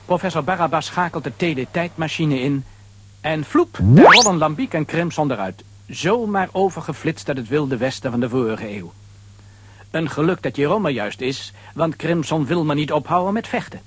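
A man's voice: a little high, sing-song, moderate pace, noisy background. A man narrating a children's audio story in a scripted, dramatic storytelling style.

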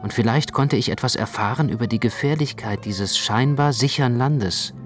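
A man playing a gravely tender, observant storyteller in a literary radio drama, narrating with measured precision. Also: mid-range voice, close to an everyday voice. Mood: grave narration. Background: prominent music.

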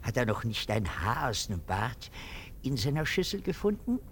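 An actor playing a pompous, sentimental and anxious army captain in a theatrical German radio drama. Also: male voice, mid-range voice, big pitch swings, normal speed, slight background noise, lightly acted. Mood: sly taunting. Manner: theatrical, swinging from exclamation to insinuating murmur.

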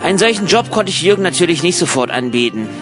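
A man's voice: a little high, sing-song, fast, over loud music. A man playing an eager, practical young German emigrant in a radio play, chatty and mildly exasperated as he talks and narrates. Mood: narrating.

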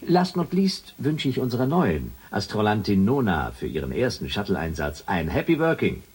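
An actor playing a breezy, pushy shuttle commander giving a slangy pep talk in a radio drama. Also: male voice, lowish voice, sing-song, moderate pace, a little mellow, slightly rough, heavily acted. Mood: jovial, goading. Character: jovial, pushy male shuttle mission commander. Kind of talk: radio drama, radio address to crew.

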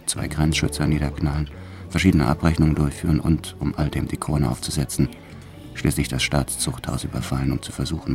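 A man's voice: very deep voice, gravelly, darkish tone. An actor playing a hardened, reckless French bank robber who tells his story in a cold, matter-of-fact, boastful way for a radio drama. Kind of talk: first-person radio drama narration.

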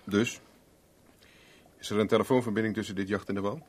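An actor playing a cool, persistent private investigator in a 1970s radio play, dry, guarded and polite. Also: male voice, fairly low pitch, steady tone, fairly quick, slight background noise, close to an everyday voice. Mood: probing, guarded.

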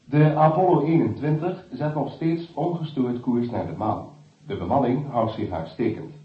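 An actor playing a radio newsreader in a drama, reading formally and in measured phrases through a radio filter. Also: male voice, mid-range voice, slight background noise. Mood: formal, reassuring. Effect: radio set filter. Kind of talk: scripted news bulletin in radio drama. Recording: echoey, tinny radio broadcast.

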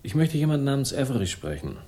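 An actor playing a world-weary, sardonic crime narrator in a late-sixties radio drama, speaking in a dry, laconic way. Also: male voice, medium pitch, big pitch swings, quick pace, noisy background, natural voice. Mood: flippant, provoking. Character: weary, battered, sardonic male crime-story narrator bent on revenge. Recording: 1960s radio production, music behind.

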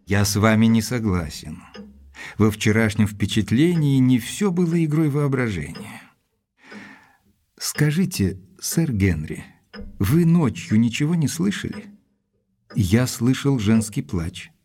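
An actor playing a thoughtful gentleman investigator, narrating a mystery in a measured, deliberate literary style. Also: mid-range voice, sing-song. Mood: earnest. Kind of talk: dramatized first-person literary narration.

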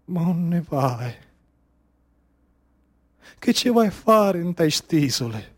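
A man with a Sicilian accent performing a dramatic storytelling monologue in a declamatory, incantatory way with deliberate, repeated phrasing. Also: fairly high pitch, sing-song. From Sicily, Italy. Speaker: stage storyteller, actor.

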